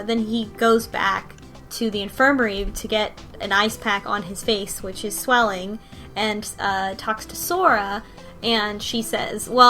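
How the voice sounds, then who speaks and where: A woman's voice: fairly high pitch, sharp tone. A woman co-hosting a casual podcast, recapping a story in a rambling way with many ums and restarts. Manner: rambling recap with frequent ums and self-corrections. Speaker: podcast co-host. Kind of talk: casual chat recapping a visual novel.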